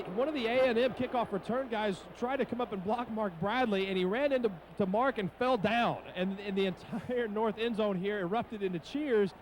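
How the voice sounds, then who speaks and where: A man's voice: high voice, slight background noise, poor audio. A man giving a live, unscripted sideline report at a football game in a colloquial, explanatory way. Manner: colloquial, repeats words as he explains. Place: outdoors on a stadium sideline.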